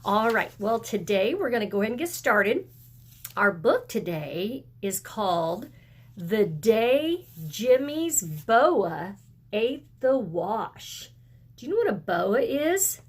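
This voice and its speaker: A woman teaching young children as a kindergarten teacher, speaking deliberately and encouragingly, with questions and pauses for answers. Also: a little high, big pitch swings, slightly rough. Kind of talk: video lesson and read-aloud for young children.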